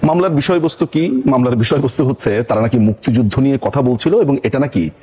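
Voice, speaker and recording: A man's voice: medium pitch, fast talker, dark tone, husky. A man with a Bangladeshi accent hosting political commentary in an emphatic, rhetorical manner. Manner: emphatic, rhetorical questions and repetition. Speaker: political commentator and show host.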